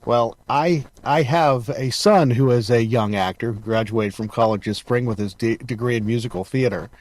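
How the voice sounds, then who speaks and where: A man's voice: medium pitch, moderate pace, darkish tone, no room sound, rough recording. Middle-aged man hosting, dry close sound. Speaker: interview host. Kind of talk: casual conversational interview.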